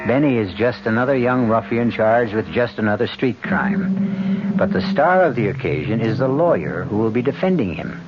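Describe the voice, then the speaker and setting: A man hosting a radio mystery drama, giving theatrical, measured scripted narration. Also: mid-range voice, animated, background noise. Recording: dull old radio broadcast, music behind.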